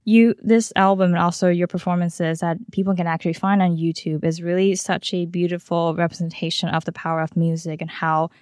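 A woman's voice: fairly low pitch, little pitch movement, fairly quick, hardly any pauses, darkish tone, no echo, clean studio sound. A woman from Taiwan hosting an interview, talking in long, affirming, conversational questions. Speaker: podcast host.

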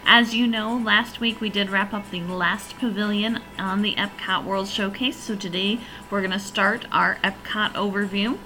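A woman hosts a solo podcast, her voice a little raspy and hoarse, yet bright and forward. She talks in an eager, rambling way, full of fillers. Soft music sits faintly under her voice. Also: medium pitch, rough recording. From northern region. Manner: chatty, enthusiastic, rambling with fillers. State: slightly hoarse from allergies. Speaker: podcast host. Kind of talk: casual solo podcast review.

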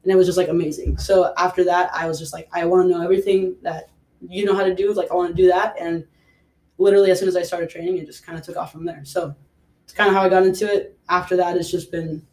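A man's voice: high voice, flat delivery, quick pace, a little mellow, rough recording. A young man from Mexico, a personal trainer, chatting casually as a guest and stringing thoughts together with lots of "like". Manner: rambling, filler-heavy, says "like" a lot. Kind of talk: casual podcast interview.

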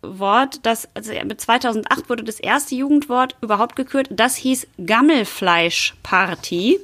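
A woman's voice: fairly high pitch, unhurried, fairly bright tone. Playful middle-aged woman hosting a podcast. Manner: playful, slips into a mock rapper tone. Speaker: podcast host. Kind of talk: casual podcast chat.

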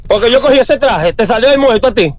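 Male, Dominican accent, extremely high pitch, combative and plain-spoken, casual phone-argument delivery. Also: lively intonation, quick pace. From Dominican Republic. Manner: blunt, defiant, argumentative. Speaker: prank call target, morgue worker.

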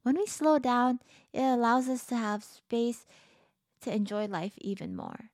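A woman's voice: on the high side, lively intonation, unhurried, darkish tone, no echo, studio quality. A teenage girl hosting her own podcast, chatting casually and upbeat with lots of filler words, her voice a little tired. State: a bit tired, voice lower than usual. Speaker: podcast host. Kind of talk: casual solo podcast chat.

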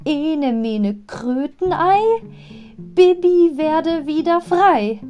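A woman's voice: high voice, lively intonation, very slow, dark tone, faint music behind, pitched above the performer's own voice, heavily acted. A woman voicing a plucky young witch girl from an audio play, chanting spells in an exclaiming sing-song. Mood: excited chanting.

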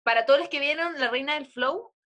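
A woman's voice: high-pitched, quick pace, sharp tone. A Chilean woman co-hosting a podcast in casual chat, slangy and joking, laughing often. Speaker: podcast co-host.

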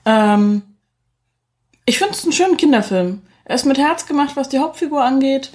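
A woman's voice: high voice, expressive, moderate pace, a little mellow. A woman co-hosting a podcast, chatting casually as she reviews a film. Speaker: podcast co-host.

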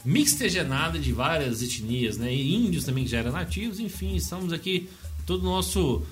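A Brazilian man in casual chat, rambling and animated, full of slang and swearing. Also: a little high, brisk, faint music behind. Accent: Brazilian Portuguese.

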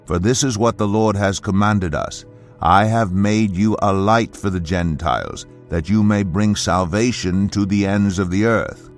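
Male audio Bible narrator, low voice, scripted scripture reading over music. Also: measured pace.

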